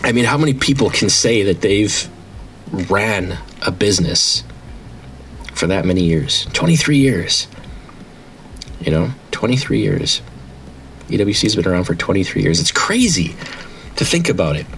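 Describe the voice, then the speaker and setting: A man in his late thirties with a very deep voice speaks in a casual, rambling monologue. He sounds eager and proud, often restarting his sentences. A faint hiss sits under the recording. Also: very animated, moderate pace, long pauses, fairly bright tone, rough recording. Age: 30s. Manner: enthusiastic, rambling, many restarts. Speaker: founder and owner of an online wrestling league.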